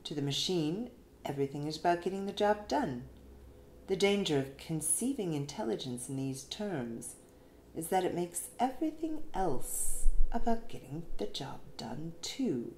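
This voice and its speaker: A woman reads a written essay aloud in an expressive, emphatic way with deliberate phrasing. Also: fairly low pitch. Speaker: reader of an essay. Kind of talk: scripted narration, essay read aloud. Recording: roomy echo, faint background noise.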